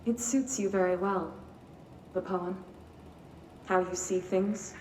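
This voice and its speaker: A woman's voice: medium pitch, fairly even intonation, darkish tone, natural voice. Actress as a clinical female psychiatrist, echoey room. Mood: composed, probing. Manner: measured, probing, detached. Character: calm, clinical female psychiatrist questioning a patient. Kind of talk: video game cutscene acting. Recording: roomy, distant, noisy.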